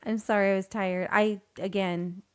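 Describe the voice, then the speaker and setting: A sleepy woman co-hosts a casual podcast, answering in short, laid-back replies and laughing often. Her voice sits at an ordinary middle pitch. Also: steady tone, normal speed, clear, resonant tone. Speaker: podcast co-host.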